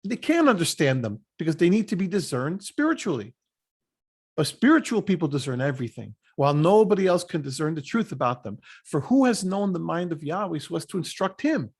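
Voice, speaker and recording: A man reading scripture aloud expressively, with an emphatic, deliberate teaching delivery. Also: on the high side, very animated, husky. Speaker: scripture reader.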